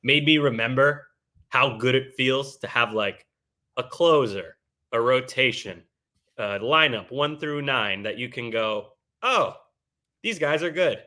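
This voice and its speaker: A man in his late twenties co-hosting a sports podcast, excitable and jokey, with stammering restarts. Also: mid-range voice, a little slow. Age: 20s. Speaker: podcast co-host. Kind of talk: casual sports podcast chat.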